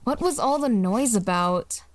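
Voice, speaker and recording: A woman's voice: fairly high pitch, expressive, unhurried, no room sound. A woman playing a blunt, nonchalant teenage girl in an amateur comic dub.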